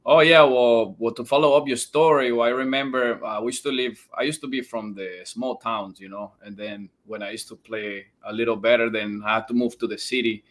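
A man in his thirties with a Colombian accent speaks at a middle pitch. He is a native Spanish speaker, and his phrasing is loose and informal. He tells personal stories as a guest on a casual podcast. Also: steady tone, fairly quick, few pauses. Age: 30s. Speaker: podcast guest, former soccer player.

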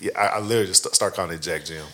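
A man, co-hosting a casual podcast chat and telling stories in loud, slangy bursts with lots of laughter. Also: low-pitched, racing. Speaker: podcast co-host.

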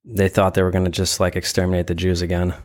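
Smooth, even-toned man, halting and reflective. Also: deep voice. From Brooklyn, New York; born in Ukraine. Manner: halting, reflective, heavy use of "like" and "you know". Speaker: interview guest, gallery founder. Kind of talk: casual conversational interview.

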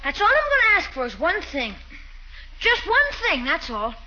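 A woman's voice: extremely high pitch, huge pitch swings, moderate pace, sharp tone, heavily acted. A woman playing an eager, stubborn schoolboy nephew, whiny and cheeky, in 1940s radio comedy style. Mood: insistent. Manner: whiny, wheedling, slangy. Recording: dull, noisy 1940s radio recording.

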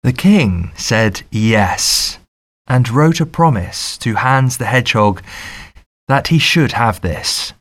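A man reads a fairy tale as an audiobook narrator. His delivery is very unhurried and deliberate, with a mid-pitched voice. Each phrase is set out in a measured, scripted storytelling style. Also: expressive, a bit bright.

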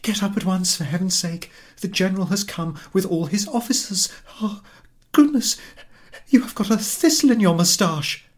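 A man's voice: high voice, moderate pace, pitched above the performer's own voice, natural voice. A man voicing a frantic young wife in a story, urgent, nagging and exclamatory as she rouses her husband. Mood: frantic scolding.

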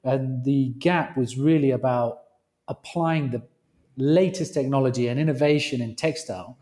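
A British man, a company founder, speaking as a guest on a remote interview call, with halting speech and frequent restarts. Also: medium pitch, mellow. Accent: British English. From Britain. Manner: halting, restarts phrases often.

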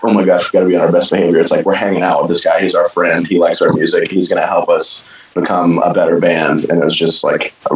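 A deep-voiced man talks easily and enthusiastically about his band. His voice sounds roomy and distant, as if he is far from the mic on a remote call. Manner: easygoing, enthusiastic, fast talker. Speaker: band member, guest. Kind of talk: casual interview answers.

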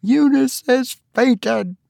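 A harsh, miserly old woman, voiced by a man reading aloud, speaks in a grim, suspicious tone. The voice sits well above a man's usual pitch, with a gravelly grain. The delivery is slow and the sound is dry and close. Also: no echo. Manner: grim, sharp, exasperated.

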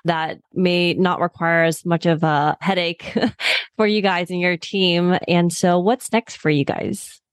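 A woman hosting a podcast interview, asking questions in a casual, upbeat way with quick affirmations. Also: fairly low pitch, steady tone, clear, resonant tone, no room sound. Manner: upbeat, laughs easily, brief affirmations. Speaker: podcast host.

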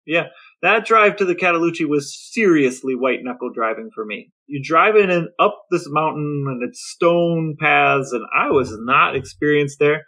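A man's voice: a little high, lively intonation, normal speed, pushed and bright. A man chatting casually as a podcast host, telling stories in a conversational way.

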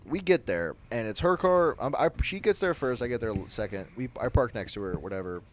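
A young man with a naturally loud voice, telling a personal story on a podcast in a rambling, animated way. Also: medium pitch, fairly quick. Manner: animated, rambling, with frequent restarts. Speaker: podcast co-host. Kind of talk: casual storytelling.

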